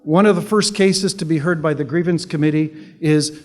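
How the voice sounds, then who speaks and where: A man's voice: a little high, fairly even intonation, normal speed, big-room sound. A Canadian-born man giving a sarcastic, conversational political speech to an audience, often restarting with repeated words. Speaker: public speaker.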